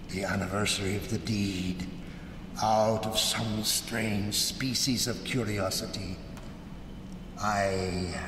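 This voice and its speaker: In a noisy, echoing hall, a haunted, vengeful older man telling of his cruel deed. He speaks slowly and theatrically, some distance from the mic, in gothic radio drama fashion. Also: on the low side. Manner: slow, deliberate, dramatic delivery. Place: live stage before an audience in a large hall.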